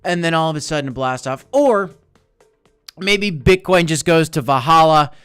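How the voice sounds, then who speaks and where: A man's voice: on the high side, animated, normal speed, smooth, faint music behind. Hyped man hosting a crypto livestream. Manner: hyped, chatty, shouts out viewers. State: losing his voice. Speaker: crypto live stream host. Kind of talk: casual live stream commentary.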